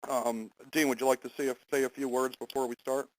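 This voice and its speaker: A man hosting and moderating a conference call in a semi-formal, halting way, stumbling over his wording now and then on a phone line. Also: medium pitch, little pitch movement.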